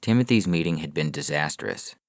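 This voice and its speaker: A man with a gravelly, creaky voice narrating a scripted science fiction story. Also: a bass voice, animated, brisk. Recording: clear background noise with clicks.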